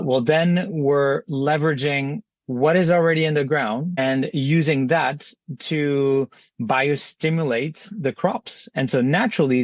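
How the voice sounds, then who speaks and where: A man in his thirties with a slight French accent, a native French speaker, explaining things in a measured interview answer with some fillers. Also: medium pitch, dry recording. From France. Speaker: podcast guest.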